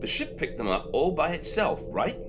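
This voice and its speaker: A man's voice: a little high, very animated, moderate pace, over music. A man playing a cocky, impatient spaceship rogue in an amateur audio drama, swaggering, slangy and easily exasperated. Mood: brisk, intent. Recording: dull lo-fi audio, background noise.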